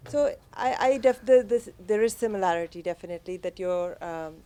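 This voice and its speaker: Woman, from Pakistan, audience question, halting, many fillers, mellow warm tone. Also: mid-range voice, clean tone. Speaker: audience member asking a question.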